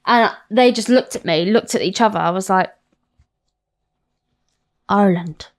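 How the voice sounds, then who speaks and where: A woman's voice: medium pitch, a little slow. Candid English woman telling stories. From South London. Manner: animated, swearing, self-mocking storyteller. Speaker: guest. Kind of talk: candid interview chat.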